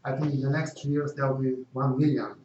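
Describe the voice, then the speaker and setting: A man's voice: medium pitch, flat delivery, fast talker, a little mellow, far from the mic, a little hiss or hum. A middle-aged man from Bulgaria with a Bulgarian accent, a non-native English speaker, giving an earnest talk as guest speaker and answering questions. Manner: earnest, repeats phrases with small slips of grammar. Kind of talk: prepared community talk and Q&A. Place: meeting room. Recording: dull, echoey live stream from afar.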